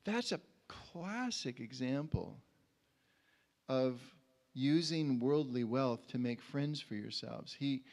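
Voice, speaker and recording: An older man, in his sixties, preaches informally with a mid-pitched voice. He talks conversationally into a handheld mic, telling personal stories in a halting way and repeating words as he feels his way along. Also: lively intonation, a little mellow. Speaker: preacher at a community church. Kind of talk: informal sermon with personal anecdotes. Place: indoor church stage. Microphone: handheld microphone.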